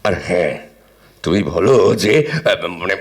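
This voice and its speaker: An actor playing a miserly, teasing middle-aged husband who grumbles theatrically and sarcastically in an audio drama. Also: male voice, sits low, steady tone, moderate pace, creaky, slight background noise. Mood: teasing, defensive.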